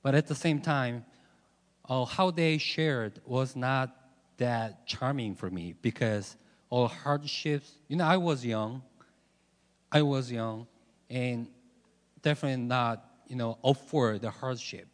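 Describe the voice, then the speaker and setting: A man from South Korea, a native Korean speaker with a Korean accent, giving a halting, self-joking testimony as a missionary guest speaker. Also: mid-range voice. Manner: halting, joking about himself. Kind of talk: personal testimony to a church congregation. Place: large church hall, over a PA. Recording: echoey hall, long reverb.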